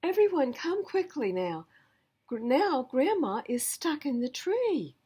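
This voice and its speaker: Woman reading as an anxious child, high and pleading. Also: very animated, slow, mellow, full character voice. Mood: urgent. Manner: earnest, emphatic pleading.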